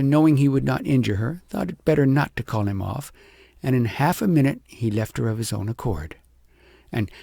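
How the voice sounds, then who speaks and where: A man reading a children's story aloud as a scripted, expressive audiobook narrator. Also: mid-range voice, vocal fry, no room sound, studio quality.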